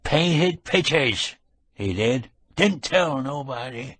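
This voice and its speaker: A man voicing a frail old rural caretaker who speaks slowly in short, country-grammar sentences, with a nasal, juicy lisp. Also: medium pitch, expressive, a bit bright, breathy edge, no room sound, voice pushed up, exaggerated voice. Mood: furtive. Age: older. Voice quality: nasal resonance and juicy, lisping sibilance.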